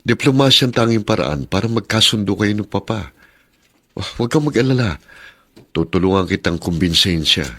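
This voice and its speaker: A concerned Filipino older brother in a radio drama. His voice is on the low side and swings expressively as he earnestly makes peace. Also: animated, a little fast, a bit bright. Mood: reassuring. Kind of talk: Tagalog radio drama acting.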